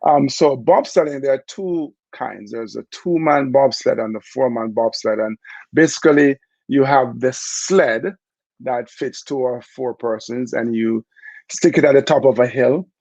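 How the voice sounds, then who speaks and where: Brief: middle-aged Jamaican man, gravelly voice, easygoing storyteller, lo-fi dry recording. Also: medium pitch, unhurried, no room sound. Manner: relaxed, chatty, frequent fillers and laughs. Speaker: interview guest, former Olympic bobsledder. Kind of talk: casual storytelling interview.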